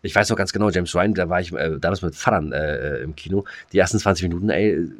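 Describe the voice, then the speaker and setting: A man's voice: sits low, fast, no room sound. A man co-hosting a podcast in casual chat, rambling with many fillers and restarts. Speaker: podcast co-host.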